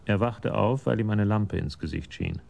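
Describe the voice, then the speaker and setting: An actor as the sober, detached storyteller narrating a scripted radio crime drama. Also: male voice, fairly low pitch, normal speed, creaky, darkish tone.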